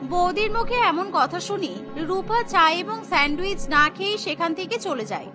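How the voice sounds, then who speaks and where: A woman's voice: very high voice, animated, fairly quick. A woman giving scripted narration for a cartoon story. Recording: music behind the voice.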